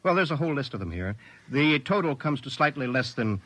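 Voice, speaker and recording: Brisk, unsympathetic debt collector. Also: male voice, medium pitch, very animated, a bit bright. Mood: brisk, indifferent. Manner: businesslike, offhand. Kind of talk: 1950s American radio drama acting. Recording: vintage 1950s radio recording.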